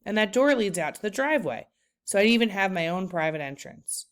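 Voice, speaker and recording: A woman's voice: medium pitch, animated, fairly quick, close to an everyday voice. Woman reading as a folksy, easygoing old grandfather. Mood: persuasive. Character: kindly, handy, optimistic old grandfather.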